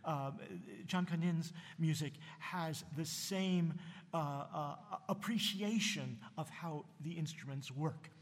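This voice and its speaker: A husky, slightly high-voiced man from New Jersey hosts a live concert, stopping and restarting often as he talks up the music. His voice carries a long hall reverb. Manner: halting, full of fillers and restarts, enthusiastic. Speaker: concert host. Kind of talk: casual spoken introduction between concert pieces.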